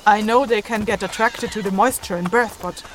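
A woman's voice: medium pitch, normal speed, background noise, close to an everyday voice. An actress playing a stern, scornful female mage in a fantasy audio drama, lecturing brusquely. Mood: dry, dismissive.

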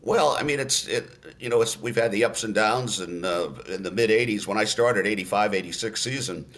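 A man in his late sixties talks through his memories at an easy, unhurried pace. His voice sits low, and he often trails into fillers before picking the thought back up. He sounds like a seasoned radio announcer chatting in a podcast. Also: a bit bright. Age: 60s. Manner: unhurried storyteller with many fillers and restarts. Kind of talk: relaxed reminiscing in an interview.

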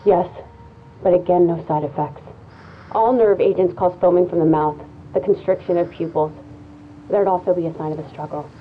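A clinical, level-headed woman with a lowish voice, played by an actress in an audio drama. She reasons matter-of-factly, and the recording is a little dull. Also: normal speed, slight background noise, close to an everyday voice. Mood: grim, analytical. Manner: analytical, matter-of-fact. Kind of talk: audio fiction acting, naturalistic.

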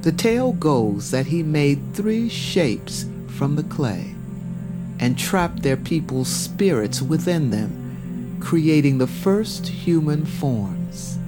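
A man giving solemn, measured, scripted narration of a dramatic fantasy tale. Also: on the high side, sing-song. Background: prominent music.

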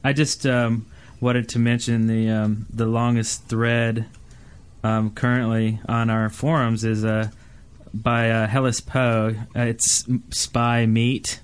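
A man's voice: mid-range voice, little pitch movement, slow pace, clean tone. A man hosting a casual group podcast in a loose, hesitant, improvised way. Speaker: podcast host.

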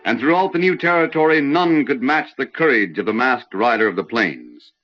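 Dramatic vintage radio announcer played by a man, very animated. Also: medium pitch, normal speed, faint music behind, close to an everyday voice. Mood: stirring, grand. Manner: dramatic, declamatory. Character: stirring announcer of a heroic western. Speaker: announcer and narrator. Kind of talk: old-time radio scripted narration. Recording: muffled vintage radio recording.

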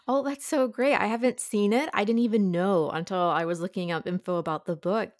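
A woman's voice: mid-range voice, fairly even intonation, fairly quick, darkish tone, no echo, studio quality. A woman talking casually and enthusiastically as a guest reviewer in an informal book discussion.

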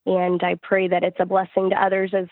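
A woman's voice: lowish voice, completely flat delivery, brisk, no room sound, phone-quality sound. A woman singer-songwriter answering interview questions in an earnest, reflective, conversational way.

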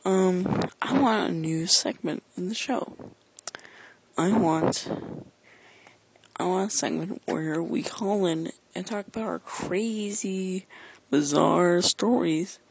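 A man leaving a casual listener message in a hushed, halting near-whisper. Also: high voice, creaky, rough recording. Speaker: listener calling in with a recorded message.

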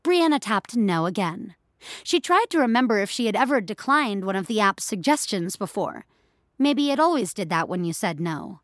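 A woman's voice: a little high, no room sound, studio quality. A woman narrating scripted fiction as a storyteller.

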